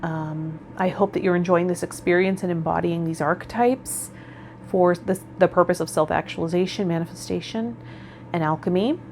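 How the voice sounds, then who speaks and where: Female spiritual vlogger, slightly low register, informal and reflective direct address, faint background noise. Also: steady tone, moderate pace, a little mellow. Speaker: tarot vlogger and host. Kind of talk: casual spiritual vlog talk, partly reading card meanings.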